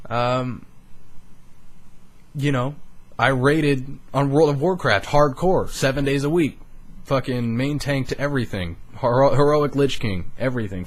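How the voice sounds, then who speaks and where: A young man of eighteen, a YouTuber, talking earnestly and rambling a bit on a studio microphone, swearing casually and sounding emotionally raw. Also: mid-range voice. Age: young adult. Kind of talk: candid personal vlog.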